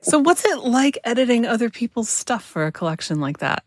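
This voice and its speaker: A woman's voice: on the high side, very animated, brisk, no echo, studio quality. A woman hosting a casual conversational interview, putting questions to her guest. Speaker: podcast host, interviewer.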